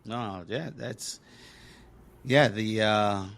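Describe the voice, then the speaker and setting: A man's voice: on the low side, very slow, vocal fry, a bit bright. A man from Congo hosting a podcast, talking earnestly and encouragingly in casual conversation, with lots of fillers. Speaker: podcast host.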